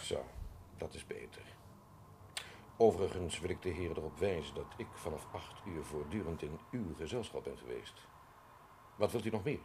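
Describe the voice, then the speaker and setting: A haughty suspect in a radio crime drama, taunting with mock politeness. His pitch moves freely and his phrasing is formal and superior. Faint noise sits on the recording. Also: animated, normal speed, long pauses, darkish tone. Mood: smugly composed. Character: smug, taunting man suspected of murder. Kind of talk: radio play acting.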